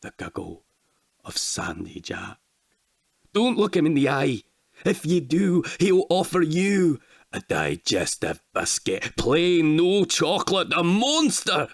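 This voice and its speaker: A man's voice: on the high side, big pitch swings, gravelly, dry recording, studio quality, pitched above the performer's own voice, full character voice. A man voicing a frightened old man who tells a dire local legend in an ominous, dramatic storytelling way. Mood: grave, dramatic. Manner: dramatic, ominous, dwelling slowly on words.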